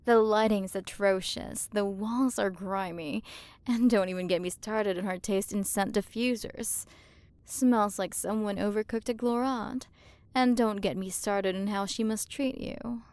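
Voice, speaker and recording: A woman performs an imperious alien galaxy queen in an intimate ASMR roleplay. She speaks slowly at a medium female pitch with plenty of vocal fry. Her manner is condescending, flirty and possessive, as if toying with a smaller creature she owns. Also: steady tone, darkish tone, no echo, natural voice. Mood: smug, disdainful. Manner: condescending, flirtatious, drawn-out delivery with breathy drops into whispers. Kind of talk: ASMR roleplay, scripted character acting.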